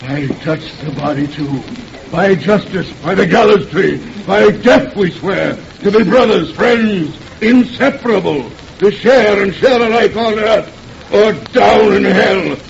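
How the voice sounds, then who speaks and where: An actor playing a simple, loutish, emotional pirate brute with childish devotion and a rough manner, in old radio drama style. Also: male voice, sits high. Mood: solemn. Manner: slow, rough, pleading or blustering. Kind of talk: old-time radio horror drama acting. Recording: muffled, hissy old radio recording.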